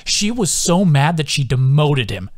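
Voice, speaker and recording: Audiobook soldier guy, acted by a male narrator, gleeful, excited, pitch jumping up, dry close sound. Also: unhurried, no room sound. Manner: laughing, excited, informal, swearing storyteller. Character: gleeful teasing soldier man telling a raucous story.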